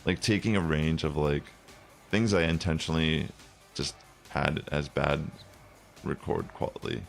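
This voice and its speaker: Streamer: young man, bassy low voice, rambling casual talk, gravelly edge, faint music under him. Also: fairly even intonation, a little slow. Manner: rambling, many fillers. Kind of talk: casual chat while gaming.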